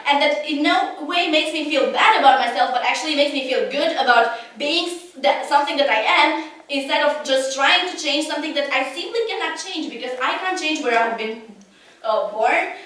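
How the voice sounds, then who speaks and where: A woman's voice: high-pitched, a bit bright. A young woman whose first language is not English, giving an earnest competitive debate speech with frequent restarts. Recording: echoey hall from far off.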